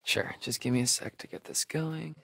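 A man's voice: medium pitch, gravelly, fairly bright tone, slightly rough, no room sound. Wisecracking young American guy, dry recording. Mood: playful, curious. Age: young adult. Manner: casual, sarcastic, halting asides. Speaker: video game voice actor. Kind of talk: naturalistic video game dialogue.

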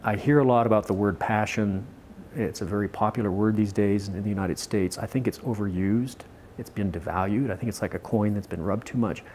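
A middle-aged man giving a measured, reflective interview answer in a study lined with books. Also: fairly low pitch, steady tone, darkish tone, a little hiss or hum. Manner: measured, thoughtful, uses figures of speech. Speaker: journalist interviewee. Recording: music bed under voice.

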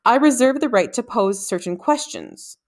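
A woman's voice: on the high side, animated, normal speed, voice pushed up, natural voice. A haughty old wizard villain from a storybook, acted out by a woman. He puts his questions smugly, pointing each word.

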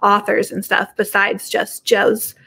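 A woman's voice: medium pitch, monotone, takes it slowly, vocal fry, bright, forceful tone, breathy edge. A middle-aged woman chatting casually and informally as a podcast guest on a video call from her home. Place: living room at home.

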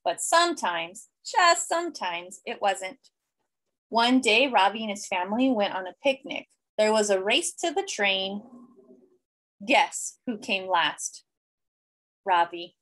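A woman in her thirties reading a children's picture book aloud expressively, with deliberate, simple phrasing and emphasis. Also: mid-range voice, animated, fairly bright tone, low-quality recording. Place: small indoor room.

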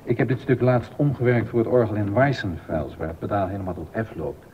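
An actor playing a stern, exacting baroque composer and organist in a docudrama, emphatic and easily indignant. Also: male voice, lively intonation, a little fast, natural voice. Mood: exacting, instructive. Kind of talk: docudrama radio acting. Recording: dull audio with rumbling noise.

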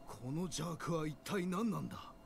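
An actor playing a grim, urgent village survivor in a dark fantasy game. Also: male voice, a little high, expressive, moderate pace, gravelly, a little hiss or hum.

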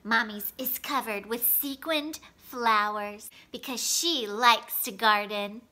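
A woman in her thirties reading a children's storybook aloud in an expressive, animated way, phrased for young listeners. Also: fairly high pitch, slow pace. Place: living room at home, indoors.

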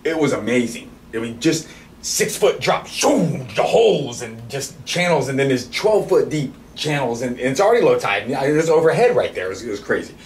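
A middle-aged man hosting a podcast, telling a casual story in an animated, excitable way, swearing freely and restarting sentences. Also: medium pitch, a little fast. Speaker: podcast host. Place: indoor studio with camo backdrop, at a table. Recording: roomy and distant with faint noise.

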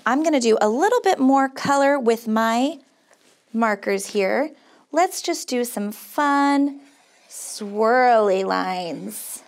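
Woman, American accent, high-pitched voice, upbeat children's host, encouraging, simple craft instructions. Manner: encouraging, upbeat, sing-song praise. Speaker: children's craft show host, camp counselor. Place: small indoor studio set.

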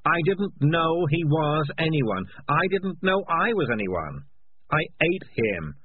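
A man voicing a selfish, guilt-ridden talking tomcat in a storybook, raised and defensive with drawn-out words, recorded with a phone-like narrow sound. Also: medium pitch, lively intonation, a little hiss or hum, voice pushed up, natural voice.

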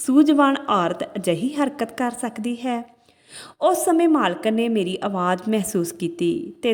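A woman's voice: mid-range voice, darkish tone. A woman narrating a dramatic first-person story from a script, as a storyteller. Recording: long hall-like reverb on voice.

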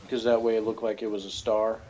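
Man in casual, halting review chat. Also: on the low side, flat delivery, dark tone. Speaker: co-host of a TV review discussion.

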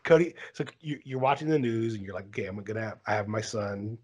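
A man's voice: fairly low pitch, fast talker, a little mellow, husky. A man co-hosting a casual podcast, rambling with lots of "like" and "dude" as he spins a story. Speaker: podcast co-host.

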